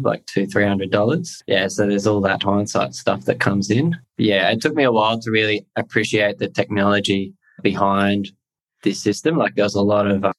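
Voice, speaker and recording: A man, answering interview questions in a measured, hesitant way with many fillers. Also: fairly low pitch, a bit bright, rough recording. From Australia. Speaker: guest, crypto tax software founder. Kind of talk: casual interview.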